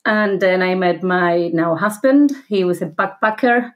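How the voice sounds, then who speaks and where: A woman's voice: fairly low pitch, measured pace. A woman in her thirties from Argentina with an Argentine accent, a podcast guest telling stories in casual conversation and laughing often. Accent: Argentine Spanish. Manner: chatty storyteller, laughs often.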